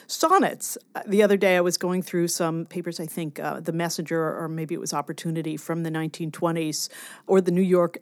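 A woman scholar being interviewed about her work, speaking in an enthusiastic, academic way. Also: on the low side. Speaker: guest scholar and author. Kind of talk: interview discussion of literature.

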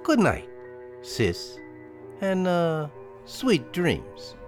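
An actor playing a cold, menacing killer with a smooth polite front in a 1940s-style radio thriller, sardonic and deliberate. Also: male voice, a little high, huge pitch swings, soft background music, heavily acted. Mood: sly.

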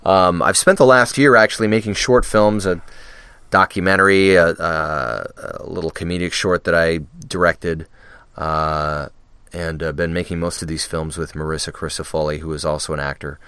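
A man with a very low voice, smooth and resonant, chats as a podcast guest. He talks in loose, rambling runs, full of ums and restarts. The sound is dry and close to the mic. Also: steady tone, moderate pace, a bit bright, dry recording. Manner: rambling, many fillers and restarts. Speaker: podcast guest, radio DJ and actor. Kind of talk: casual interview chat.

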